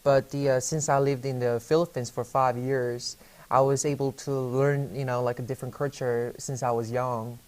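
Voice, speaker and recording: A young man from South Korea, Korean his first language, speaks in a flat, even tone. He answers interview questions hesitantly, full of fillers and restarts. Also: mid-range voice, moderate pace. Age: young adult.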